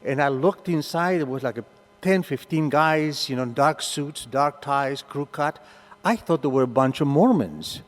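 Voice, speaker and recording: An older man from Argentina with an Argentine Spanish accent, a native Spanish speaker, telling an animated story to a meeting and acting out the voices. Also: a little high, a little slow, long reverb tail, soft background music. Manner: animated, acts out voices in his stories. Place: meeting hall.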